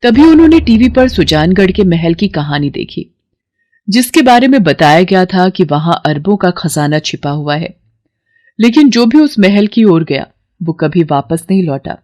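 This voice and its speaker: Expressive woman narrating a story. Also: lowish voice, moderate pace. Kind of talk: scripted dramatic story narration.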